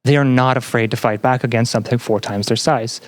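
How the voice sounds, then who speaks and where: A young adult podcast guest in casual chat, explaining ideas earnestly and pausing to find words. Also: mid-range voice, fairly even intonation. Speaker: podcast guest, student representative.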